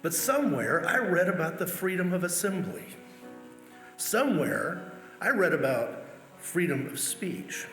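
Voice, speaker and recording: A man's voice: high voice, sing-song, measured pace, fairly bright tone. A man reading out famous speech quotations as a church member. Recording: echoey hall, music underneath. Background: music.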